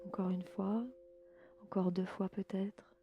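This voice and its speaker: Calm woman guiding relaxation over music. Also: mid-range voice, a little slow, lo-fi sound. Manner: calm, soothing, gently instructing. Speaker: podcast host and yoga nidra guide. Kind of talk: guided relaxation narration.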